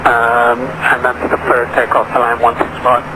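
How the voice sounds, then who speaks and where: A man's voice: mid-range voice, monotone, normal speed. A man working as an air traffic controller, giving instructions to pilots over aviation radio. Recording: noisy aircraft radio transmission.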